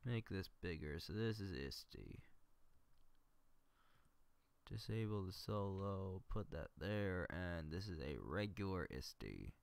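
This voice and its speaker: A man's voice: low voice, animated, unhurried, creaky, smooth, dry recording. A man livestreaming casual commentary on his screen work, thinking aloud and muttering to himself with mild exasperation.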